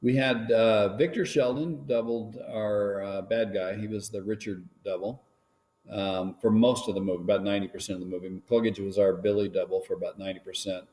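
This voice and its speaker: A man talking casually on a live stream about making his films, rambling with many pauses and restarts. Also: lowish voice, steady tone, a little mellow. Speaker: filmmaker guest.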